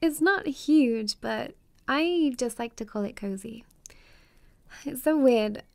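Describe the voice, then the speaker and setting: A young woman playing a warm, playful best friend in an intimate ASMR roleplay, chatting casually with a giggly, teasing, hesitant manner. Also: a little high, expressive, slow, vocal fry, no room sound, full character voice. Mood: welcoming, fond.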